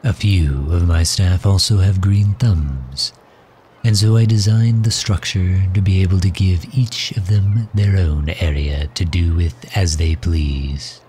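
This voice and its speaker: A man playing a suave, protective villain in an ASMR audio roleplay, speaking in a gentle, courtly, formal and measured manner. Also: sits low, a little hiss or hum. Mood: proud, explanatory. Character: suave, protective male villain doting on his captive guest.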